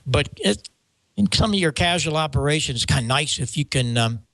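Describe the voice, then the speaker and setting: An older American man talking casually as a show host about gear, rambling and halting with stammered restarts. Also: on the high side, lively intonation, a bit bright, no room sound. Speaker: show host and microphone maker. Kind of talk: casual show talk, explaining audio gear.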